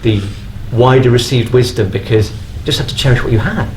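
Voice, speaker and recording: A male panel guest with a middling pitch talks off the cuff in a rambling, halting way. He is recorded live on stage, with some noise and room ambience around him. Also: low-quality recording. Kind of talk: casual live panel chat. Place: on stage at a fan convention.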